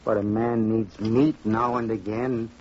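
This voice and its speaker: An actor playing a grave, careworn middle-aged fisherman father in old-time radio drama style, halting and earnest. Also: fairly low pitch, steady tone, darkish tone. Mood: weary, concerned. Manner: halting, earnest, repeating words. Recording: dull, noisy vintage radio recording.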